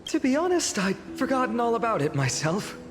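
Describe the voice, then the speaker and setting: Actor as a wistful youth with swooping pitch. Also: big pitch swings, brisk, background noise, close to an everyday voice. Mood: easygoing. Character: wistful, easygoing youth from a fantasy village. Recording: clear music behind.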